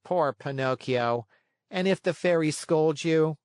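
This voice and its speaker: A man's voice: fairly high pitch, unhurried, a little mellow, no echo, studio quality, pitched above the performer's own voice, close to an everyday voice. A man voicing a wooden marionette boy in a storybook, earnest, easily tempted and given to exclaiming. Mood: curious, worried. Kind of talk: storybook character voice.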